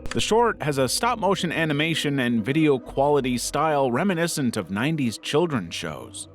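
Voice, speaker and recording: A man's voice: on the high side, big pitch swings, moderate pace, faint music behind. A man reading scripted narration in the role of an online video narrator. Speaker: narrator of internet video countdown.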